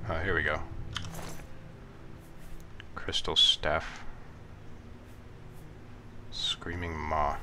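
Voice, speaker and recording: Very deep, flat-voiced man with a cold. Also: background noise. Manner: relaxed, halting, many ums. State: hoarse from a cold. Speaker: let's play gamer. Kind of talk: casual gameplay commentary. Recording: game music playing behind.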